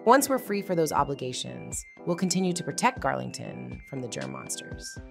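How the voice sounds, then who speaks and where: A woman plays a confident young team leader in a hero cartoon. Her voice is low with a gravelly fry and swings expressively up and down as she looks after her crew. Music plays underneath. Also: very animated, normal speed, heavily acted. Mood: earnest, encouraging. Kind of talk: cartoon comic dub acting.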